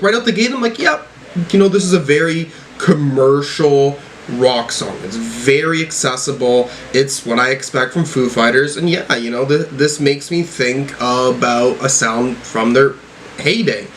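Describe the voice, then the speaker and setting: A man giving casual, off-the-cuff reaction commentary as a music reviewer, talking in a halting, rambling, slangy way with the odd swear word. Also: medium pitch, a bit bright, a little hiss or hum.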